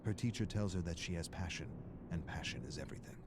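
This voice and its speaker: A man performing as a solemn storyteller, narrating a video game's character stories in measured, dramatic phrases. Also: sits low, heavy background noise, natural voice.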